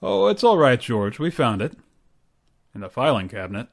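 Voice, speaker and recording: A man's voice: medium pitch, big pitch swings, normal speed, creaky, heavy background noise. A man playing a sarcastic, pompous boss in an old-time radio comedy. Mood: sardonic, scolding. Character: sardonic, blustery boss who calls employee "boy".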